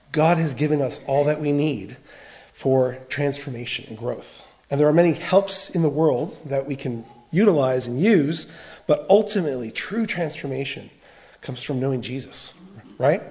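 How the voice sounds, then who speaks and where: American guy, middle-aged, earnest church teacher, keeps restarting phrases, normal mid pitch. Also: darkish tone. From United States. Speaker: biblical counseling trainer.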